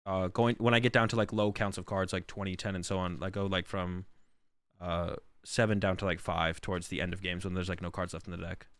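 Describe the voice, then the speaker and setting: A man's voice: low voice, level tone, brisk, a bit bright, no room sound. A man who is sick and losing his voice, rambling through casual stream commentary with lots of fillers. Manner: rambling, filler-heavy, thinks aloud.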